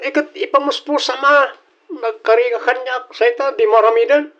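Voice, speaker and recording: An actor with an Ilocano Filipino accent playing a demanding, wheedling young boyfriend in an audio drama, in a lo-fi recording. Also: male voice, very high-pitched, steady tone, moderate pace, a bit bright. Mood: pushy, wheedling.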